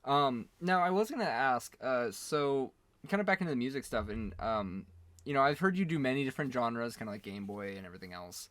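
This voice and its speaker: A man's voice: mid-range voice, lively intonation, brisk, a bit bright, dry recording. A man hosting a casual interview, asking questions in an informal, rambling way. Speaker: interview host.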